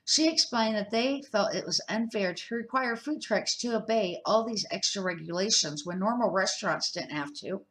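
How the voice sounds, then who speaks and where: An older woman reading a picture book aloud for children. Her pitch sits in a middle range, and her reading is homely and unpolished, with small slips and restarts now and then. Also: normal speed, few pauses, fairly bright tone, rough recording. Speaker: storybook reader.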